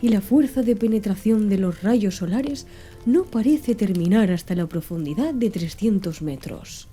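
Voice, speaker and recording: A woman narrating an audiobook in a soft, slow, hushed ASMR style. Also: medium pitch, expressive. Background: faint background music.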